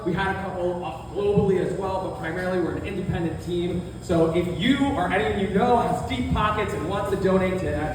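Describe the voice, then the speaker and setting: Casual, upbeat Q&A host, distant and reverberant, with clear background noise. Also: mid-range voice. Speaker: panel host. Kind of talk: live audience Q&A hosting. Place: coffee bar event room, live audience. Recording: very echoey hall, distant mic, background noise.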